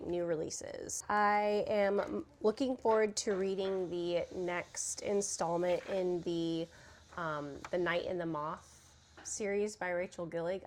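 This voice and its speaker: A young woman with a cold talks about books in a casual chat at home. She fills her talk with "like" and "um" and sniffles as she goes. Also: medium pitch. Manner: chatty, filler-heavy, "like" and "um". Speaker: book vlogger. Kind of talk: casual chat while crafting. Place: home room with children nearby.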